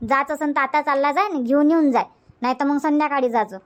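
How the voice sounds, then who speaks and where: A woman's voice: high voice, a little fast, muffled recording, natural voice. A woman voicing a bossy, fussing village mother-in-law in a cartoon comedy, colloquial and repetitive, with a rural Varhadi Marathi accent. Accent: rural Varhadi Marathi from Vidarbha.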